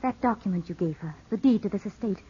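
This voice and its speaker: An actress playing an earnest, anxious young woman with a husky voice in a 1950s radio drama. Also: mid-range voice, expressive, quick pace, dark tone. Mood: reproachful. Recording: dull 1950s radio recording.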